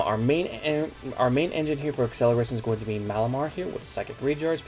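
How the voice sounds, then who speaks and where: Soft-spoken man with a mellow voice. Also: mid-range voice. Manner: speaking softly, keeping his voice down; upbeat, chatty, enthusiastic. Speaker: card game channel host. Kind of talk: casual deck walkthrough.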